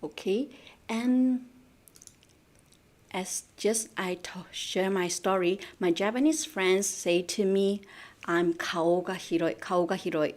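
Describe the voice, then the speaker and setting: A woman's voice: medium pitch, fairly even intonation, takes it slowly, darkish tone. A woman from Malaysia teaching Japanese idioms in a live online lesson, repeating words and asking viewers questions, switching between English and Japanese.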